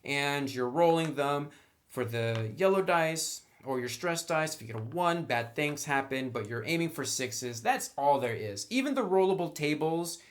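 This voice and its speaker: A man's voice: a little high, expressive, normal speed. A man giving a casual, opinionated review as a tabletop game reviewer, talking in an informal, conversational way.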